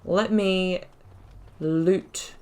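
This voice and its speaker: A woman's voice: fairly low pitch, fairly even intonation, extremely slow, darkish tone. A woman giving casual, self-deprecating commentary as she plays a video game.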